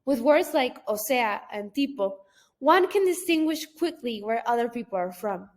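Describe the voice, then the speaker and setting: Young woman from guadalajara, mexican accent, high voice, reading her class talk like a list. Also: expressive. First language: Spanish. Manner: careful, list-like reading with pauses. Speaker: student. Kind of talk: read class presentation.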